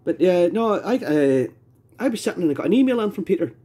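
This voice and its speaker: A Scottish man with a husky voice, chatting casually as an amateur radio operator. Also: sits high, big pitch swings, fast talker, dark tone. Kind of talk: casual two-way radio chat. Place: indoors at a home radio desk.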